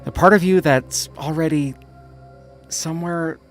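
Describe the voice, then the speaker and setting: A man voicing a gentle mortician who murmurs tenderly to the dead, hushed and halting, as in a dramatic audiobook. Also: a little high, steady tone, faint music behind, pitched above the performer's own voice, natural voice. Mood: searching, hesitant.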